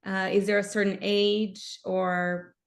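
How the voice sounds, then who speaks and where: Female host, therapist; eager questioner, vocal fry throughout. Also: medium pitch, flat delivery, slow. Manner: enthusiastic, asks eager questions. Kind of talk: live interview.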